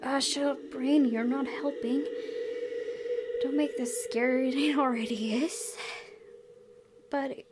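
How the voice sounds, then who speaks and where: Higher-voiced woman narrating a horror game. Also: steady tone, slow pace, pauses often. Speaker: gaming video host. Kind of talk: let's play, reading visual novel text aloud with spontaneous reactions. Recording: noticeable background noise and ambience.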